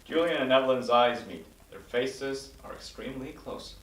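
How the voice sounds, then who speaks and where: A man's voice: mid-range voice, normal speed. A man reading stage directions as scripted narration at a table read in an echoey room. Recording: echoey room, distant mic.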